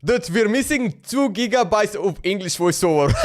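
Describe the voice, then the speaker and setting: A man's voice: sits high, expressive, measured pace. A man in his twenties with a Slovenian accent, giving casual, rambling livestream commentary on a game. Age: 20s. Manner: rambling, exclamatory.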